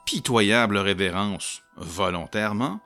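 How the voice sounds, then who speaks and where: A low-voiced man with a Québécois accent tells his solo tabletop roleplaying game to the listeners. His delivery is bright and animated, and his pitch leaps up and down as he jokes his way through the story. Faint music plays behind him. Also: a little slow. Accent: Québécois French. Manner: animated, jokey storyteller who talks to his audience.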